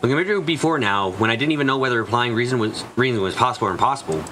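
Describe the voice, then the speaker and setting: A man's voice: mid-range voice, expressive, rapid-fire, bright, forceful tone. A man playing an earnest young puzzle solver in a visual novel fan dub, reflectively reasoning aloud. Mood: pensive, hopeful. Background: background music.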